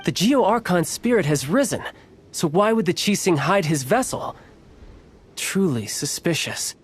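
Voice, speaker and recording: A man playing a suave, slyly friendly young foreign agent in a video game, with a smooth, teasing, theatrical manner. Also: high-pitched, animated, a little slow, full character voice. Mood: sly, amused. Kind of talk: video game cutscene acting.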